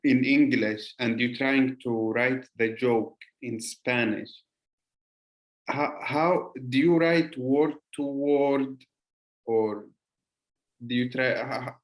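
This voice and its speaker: A man hosting a casual podcast interview. He asks questions in a halting way, stopping to rephrase them mid-sentence. His pitch is ordinary for a man. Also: steady tone. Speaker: podcast host.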